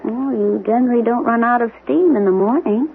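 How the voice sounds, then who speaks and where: An actress playing a sharp, teasing wife who keeps a close, quick eye on her husband's moods. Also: fairly high pitch, close to an everyday voice. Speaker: supporting lead. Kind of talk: 1970s radio drama acting. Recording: tinny lo-fi old radio broadcast with faint hiss.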